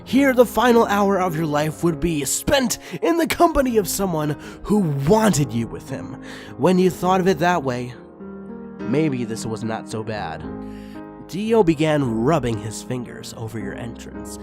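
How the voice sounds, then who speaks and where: A man reading fan fiction aloud in an expressive narrating style, rising in intensity on the dramatic passages. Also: on the high side, unhurried, music in the background.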